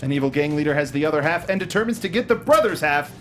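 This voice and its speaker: A man hosting a film review panel, chatting casually in a halting, jokey way with music playing. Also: fairly high pitch, expressive, a bit bright. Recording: music playing behind the talk.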